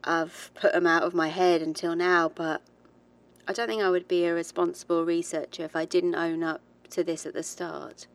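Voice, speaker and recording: Woman acting a haunted researcher, lowish voice. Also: flat delivery, normal speed, natural voice. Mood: haunted, reflective. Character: haunted, determined woman researcher, British-born, recalling childhood ghosts. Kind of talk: confessional audio diary monologue in horror audio drama.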